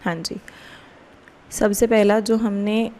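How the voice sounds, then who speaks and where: A woman with an Indian accent giving a step-by-step software tutorial as an instructor, explaining casually and often checking in with questions. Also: medium pitch, steady tone, takes it slowly.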